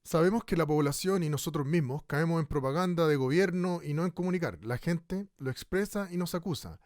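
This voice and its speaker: Measured man playing a radio host. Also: a little high, a little mellow, no echo, clean studio sound, close to an everyday voice. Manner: measured, hesitant with fillers. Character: male radio host moderating a debate, measured and polite. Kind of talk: radio drama acting.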